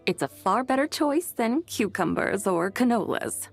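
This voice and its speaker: A woman's voice: on the high side, sing-song, a little slow, slightly rough, faint music behind, heavily acted. A woman playing a proud, stern female immortal who lectures in lofty, archaic speech in a video game. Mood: lofty, instructive. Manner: haughty, archaic, lecturing diction. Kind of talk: game voice acting.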